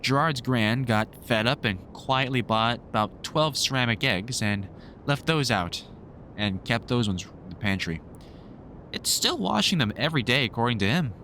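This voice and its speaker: A man's voice: mid-range voice, animated, normal speed, bright, forceful tone, slight background noise. A man playing a sardonic, protective vampire hunter in a roleplay audio drama, sweary and teasing like an exasperated but fond mentor. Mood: explaining fondly.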